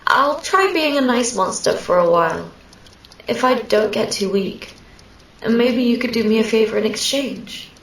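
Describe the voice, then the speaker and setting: A woman playing a lonely, clingy sleep paralysis demon in a scripted ASMR roleplay, speaking intimately and haltingly to a sleeper. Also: on the high side, animated, distant mic, slight background noise. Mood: shy, hopeful. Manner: hesitant, halting, wistful, intimate. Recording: roomy echo, set back from mic.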